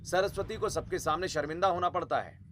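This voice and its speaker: A man's voice: sits high, a little fast, a bit bright. A man narrating a scripted dramatic family story in a storytelling style.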